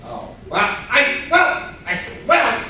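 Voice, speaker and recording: A man's voice: very high-pitched, wildly swooping pitch, slow, pushed and bright, full character voice. A man playing a pompous, forgetful rich employer in a comedy staged reading, blustering and exaggerated. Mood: outraged. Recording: distant mic, echoey room, faint noise.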